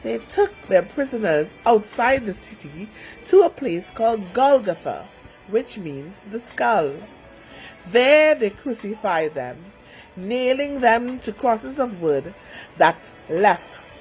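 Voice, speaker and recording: A woman storyteller reading a scripted children's story with expressive narration. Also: medium pitch, takes it slowly, faint music behind, rough recording.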